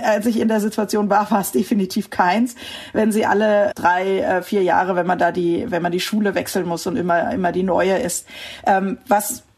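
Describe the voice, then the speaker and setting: A woman's voice: mid-range voice, a little mellow, slightly rough. A middle-aged woman from the Rhineland, answering interview questions in a thoughtful way, often searching for words and laughing easily. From Rhineland, Germany. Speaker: interview guest. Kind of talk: reflective conversational interview.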